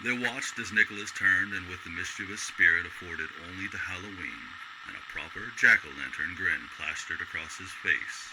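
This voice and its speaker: A man narrating a scripted radio story in a measured, literary storytelling way. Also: deep voice, noisy background.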